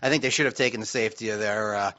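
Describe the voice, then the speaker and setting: Bright, forceful man, muffled recording. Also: lowish voice, fairly even intonation, rapid-fire. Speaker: talk show panelist. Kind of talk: casual chat. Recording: dull, lo-fi muffled sound.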